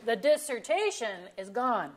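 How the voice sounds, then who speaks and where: A woman's voice: on the high side, very animated, unhurried. A woman acting a dry, teasing middle-aged wife and mother in a staged comedy reading. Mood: deadpan. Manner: dry, sardonic. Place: auditorium stage.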